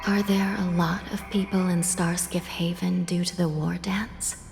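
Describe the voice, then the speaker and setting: A soft-spoken video game lady of the netherworld. Her voice sits a little low, reflective and breathy, with measured phrasing. It rings in a long reverb over soft music. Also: little pitch movement. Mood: wistful. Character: soft-spoken, wistful female resident of the Netherworld.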